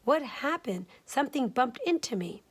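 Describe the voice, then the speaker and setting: Elderly storybook grandmother, kindly and flustered, read by a woman, high and quavering. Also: expressive, moderate pace, husky. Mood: startled. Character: kindly startled old grandmother.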